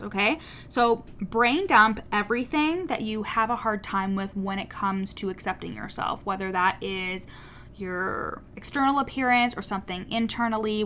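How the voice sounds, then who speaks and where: A young woman with a stutter, hosting a casual solo coaching podcast in a candid, swearing, animated way. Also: mid-range voice, slight background noise.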